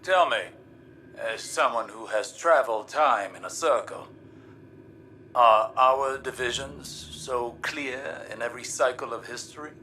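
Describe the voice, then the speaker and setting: A man's voice: mid-range voice, a little slow, pushed and bright, slight background noise. An actor playing a scholarly man in a video game, speaking in a precise, inquisitive way.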